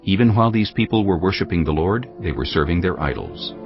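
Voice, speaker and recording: Really deep guy narrating bible drama, grave and dramatic, lively intonation, music behind him. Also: a little fast, vocal fry. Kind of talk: scripted dramatised scripture narration. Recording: dull audio, music underneath.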